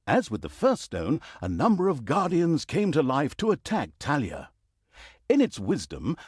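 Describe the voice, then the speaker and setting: Fantasy game narrator: male, slightly high-pitched, mock-grandiose and irritable, hugely swooping intonation. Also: no echo, studio quality. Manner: sardonic, mock-grandiose, breaking the fourth wall. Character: wry, theatrical fantasy game narrator, easily exasperated. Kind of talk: scripted comic fantasy storytelling.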